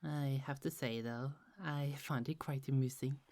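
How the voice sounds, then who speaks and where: A woman voicing a gentle, chatty young goddess in an ASMR roleplay, speaking softly with frequent little laughs. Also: extremely low pitch, measured pace. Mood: friendly. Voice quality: soft, half-whispered, giggly.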